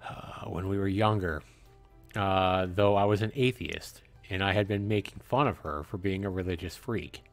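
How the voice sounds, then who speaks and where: A man reading a visual novel aloud as narrator, with earnest, measured, dramatic phrasing. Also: sits low, fairly even intonation, faint music behind.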